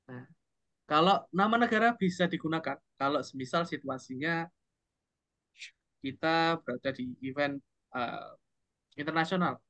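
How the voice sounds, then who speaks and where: A man's voice: on the high side, fairly bright tone, no echo, dull audio. A man with an Indonesian accent, tutoring an online English speaking class and giving upbeat, encouraging feedback. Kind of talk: online group speaking practice.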